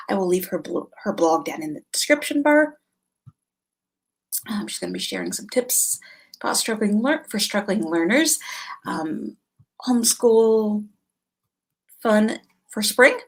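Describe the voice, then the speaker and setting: A woman's voice: medium pitch, gravelly, slightly rough. A middle-aged woman chatting informally as she hosts a homeschool live stream, explaining things in a loose, unscripted way. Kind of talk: casual live chat to camera.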